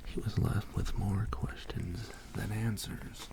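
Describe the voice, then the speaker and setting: A man softly telling a rambling ASMR bedtime story in soothing, halting phrases, whispering close to sensitive microphones. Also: level tone. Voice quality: breathy whisper mixed with low creaky voice. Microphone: close high-sensitivity microphones. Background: soft writing and tapping trigger sounds.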